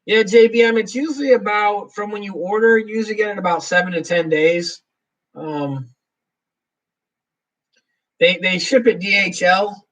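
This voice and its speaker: A man chats loosely through a live Q&A stream and reads viewer comments out loud between his answers. His voice sits a bit high for a man. He sounds set back from the microphone. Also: fairly even intonation, normal speed, pauses often, distant mic, lo-fi sound. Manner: rambling, reads viewer comments aloud, chuckles often. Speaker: livestream host. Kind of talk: casual live Q&A chat.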